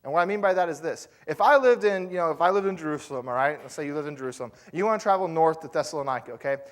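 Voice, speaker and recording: A man's voice: a little high, animated, racing. A man preaching a sermon as pastor, telling the story in a loose, conversational way with fillers and asides to the congregation.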